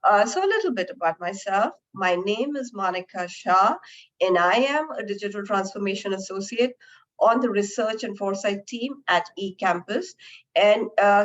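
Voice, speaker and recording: A woman moderating and opening a professional webinar, her voice lowered from a recent flu, speaking in a friendly way with frequent "uh" fillers. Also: fairly bright tone, lo-fi sound. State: recovering from flu, deeper voice.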